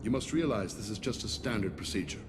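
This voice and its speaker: An actor playing a stern, self-righteous alien warden of a space prison ship in a video game, speaking formally and with authority. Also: male voice, on the low side, expressive, fast, noisy background, lightly acted. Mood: commanding, businesslike. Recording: loud music behind the voice.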